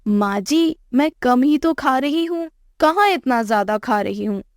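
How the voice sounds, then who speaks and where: High, cheeky young bride, played by a woman. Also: lively intonation, unhurried, no echo, clean studio sound. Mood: innocent, cheeky. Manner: cheeky, defiant. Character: brazen, gluttonous young daughter-in-law from a poor village. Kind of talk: Hindi moral-story cartoon dialogue.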